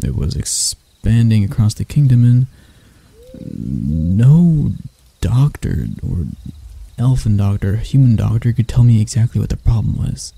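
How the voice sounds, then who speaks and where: A very dark, mellow male elf royal, acted by a man, proud and mocking, in an intimate ASMR roleplay. The voice is husky and breathy with a creaky edge, and he lets dramatic pauses hang as he addresses his enemy. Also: on the low side, expressive, no echo, full character voice. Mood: grave, explaining.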